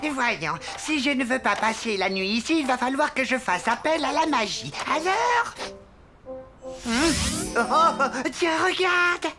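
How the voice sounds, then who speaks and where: A high-voiced, chatty little magical sidekick from a children's cartoon. The manner is fussy, and music plays underneath. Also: female voice, lively intonation, a little slow. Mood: fretful.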